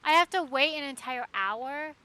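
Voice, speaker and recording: Girl streaming a game, highish sharp voice, casual, kinda talking to herself, music in back. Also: animated, moderate pace. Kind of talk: casual live game commentary, muttering to self.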